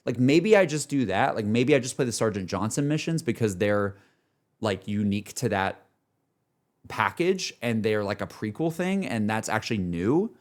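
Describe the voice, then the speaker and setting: A man's voice: lowish voice, sing-song, normal speed. A man streaming games who talks casually and candidly to his chat, rambling as he thinks aloud without a script. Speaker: game streamer talking to chat. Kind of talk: casual livestream chat, unscripted.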